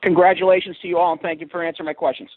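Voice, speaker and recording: A man's voice: high voice, little pitch movement, very fast, dry recording. A man asking conversational questions as a reporter on a media conference call. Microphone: telephone. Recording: dull, dry conference call line.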